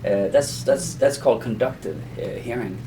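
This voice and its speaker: A man giving an informal conversational lesson as an explainer, in a halting, rambling way with self-repetition. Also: mid-range voice, dark tone, slight background noise, poor audio. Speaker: audio explainer teaching beginners.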